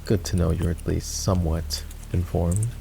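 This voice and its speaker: Man playing a deep, gravelly, sardonic knight. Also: fairly even intonation, moderate pace, warm tone, slight background noise. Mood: gruff, businesslike. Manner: sardonic, teasing, commanding. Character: gruff, sardonic fantasy knight, grudgingly escorting a princess. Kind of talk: roleplay ASMR voice acting.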